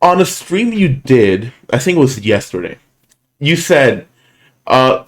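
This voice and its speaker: Deep-voiced man from Puerto Rico. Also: big pitch swings. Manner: chatty, slightly halting English, playful. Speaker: show host, commentator. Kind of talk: casual interview.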